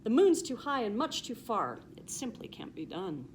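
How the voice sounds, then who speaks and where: A woman reads as a sceptical barnyard cow in a children's storybook. She is dismissive and emphatic, her pitch jumping around, with faint noise in the background. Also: big pitch swings, moderate pace. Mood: scoffing. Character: scoffing, doubting female barnyard cows in a storybook.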